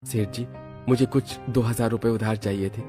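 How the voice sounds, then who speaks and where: A man's voice: fairly low pitch, steady tone, normal speed, warm tone, close to an everyday voice. A man playing a humble, careworn working father. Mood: earnest. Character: tired, humble day-labourer father, kind and worried. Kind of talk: cartoon story dialogue. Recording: background music under the voice.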